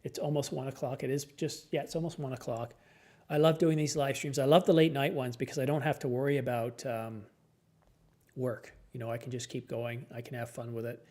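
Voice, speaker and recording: A man's voice: medium pitch, flat delivery, brisk, darkish tone. A tired man hosting a late-night live stream, chatting casually and answering viewer comments as his voice starts to go.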